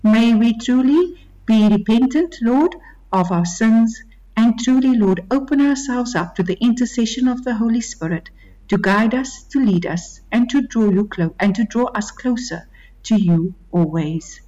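A woman's voice: a little high, lively intonation, dark tone, dull audio. Earnest woman host. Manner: earnest, prayerful, unhurried. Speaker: radio show host. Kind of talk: prayer and gentle interviewing.

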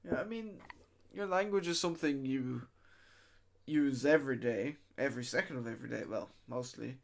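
Very deep, creaky-voiced woman host. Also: moderate pace. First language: English. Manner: relaxed, brief replies, laughs easily. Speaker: interviewer host. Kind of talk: casual interview chat.

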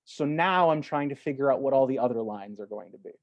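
A man speaking as an interview guest, an actor talking in a thoughtful, reflective way with measured phrasing and emphatic swells. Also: mid-range voice, animated, dull audio. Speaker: podcast guest, stage and voice actor.

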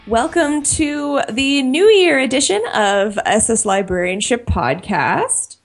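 A young woman co-hosting a casual podcast, chatty and quick to laugh, often restarting her phrases. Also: a little high, lively intonation, soft background music. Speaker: podcast co-host.

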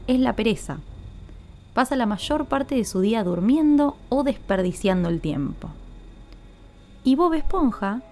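A woman's voice: a little high, dark tone. A woman narrating a scripted podcast in an explanatory way, speaking directly to listeners. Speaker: podcast host.

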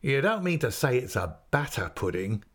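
A man's voice: mid-range voice, big pitch swings, normal speed, fairly bright tone. A man voicing a chatty, greedy inn waiter with a wheedling, garrulous manner. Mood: greedy delight. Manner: garrulous, wheedling, mock-dismayed.

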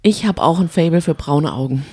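A woman's voice: deep voice, a little fast, mellow, husky. A woman co-hosting a reality TV recap show, chatting casually and retelling episodes.

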